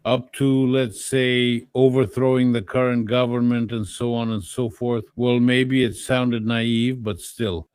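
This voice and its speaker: A man in a political discussion, explaining his view in a measured way with qualifiers. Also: mid-range voice, level tone, a little mellow, clean tone, no room sound.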